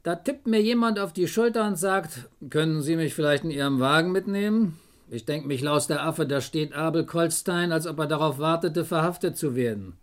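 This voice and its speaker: An actor playing a cheeky, eager junior detective in a German crime radio play, with a jaunty, wry way of reporting. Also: male voice, on the high side, moderate pace, close to an everyday voice. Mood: wry, pleased. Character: cheeky, eager male police detective, the chief's subordinate.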